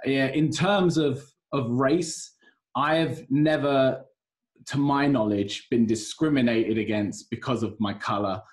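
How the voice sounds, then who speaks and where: Thoughtful man, mid-pitched voice. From United Kingdom. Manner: measured, thoughtful, hesitant with fillers. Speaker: podcast co-host. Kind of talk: podcast conversation.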